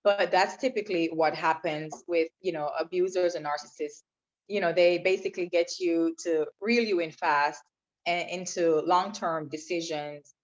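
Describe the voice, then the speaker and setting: A woman's voice: fairly low pitch, steady tone, moderate pace. A woman from Jamaica, a podcast guest telling her own story in an earnest, reflective way. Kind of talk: personal testimony in interview. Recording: dull lo-fi sound.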